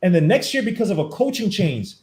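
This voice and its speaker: A man with a fairly high voice argues in quick, heated bursts. He leans hard on his points. The sound bounces around an echoey room. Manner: fast, animated, emphatic arguing. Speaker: podcast host. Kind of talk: casual sports debate.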